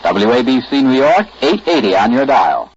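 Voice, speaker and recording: A man's voice: mid-range voice, very animated, moderate pace, breathy edge. A man working as a station announcer, reading formal notices in 1940s radio style. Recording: dull, hissy 1940s radio recording.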